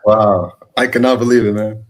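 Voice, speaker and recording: Male guest from Morocco, very low voice, casual personal storytelling, somewhat halting. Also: steady tone.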